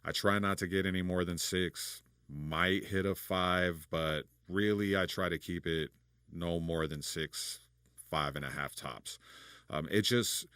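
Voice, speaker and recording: A man with a deep voice explaining vocal recording in a relaxed, rambling tutorial, full of fillers and restarts. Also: a little slow, clear, resonant tone, dry recording, studio quality. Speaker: recording engineer and producer. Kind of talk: casual studio tutorial.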